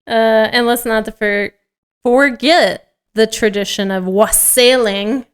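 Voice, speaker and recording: Higher-pitched woman, unhurried and giggly. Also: steady tone, clean tone. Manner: giggly, playful, often breaks off into joking asides. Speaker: podcast co-host. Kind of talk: scripted table read mixed with casual banter.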